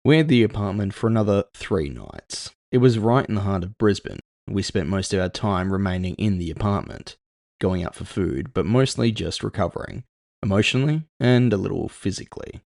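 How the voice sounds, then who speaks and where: A man narrating scripted first-person fiction in a measured, brooding storytelling manner. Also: sits low, vocal fry, a little mellow, no room sound, clean studio sound.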